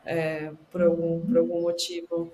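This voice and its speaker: A Brazilian woman host telling anecdotes in an animated, conversational way. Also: fairly low pitch, unhurried, echoey room, a little hiss or hum. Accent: Brazilian Portuguese. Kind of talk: conversational video call discussion.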